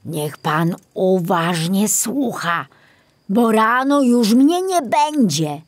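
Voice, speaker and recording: A woman's voice: medium pitch, sing-song, extremely slow, dry recording, pitched above the performer's own voice, full character voice. A woman voicing a kindly, cheerful old male forester in a children's audiobook, instructive and reassuring.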